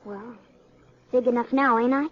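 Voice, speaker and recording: Casting: woman as high-pitched American farm boy of eleven, earnest and wary, muffled old radio western. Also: steady tone, moderate pace, a little hiss or hum, close to an everyday voice. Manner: plain-spoken, earnest country talk. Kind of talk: 1950s western radio drama acting.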